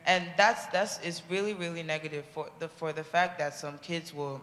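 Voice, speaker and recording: A woman's voice: low voice, fairly bright tone. A young woman with a Nigerian accent, speaking earnestly in a group discussion. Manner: earnest, halting with restarts. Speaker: discussion participant. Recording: echoey hall with faint noise.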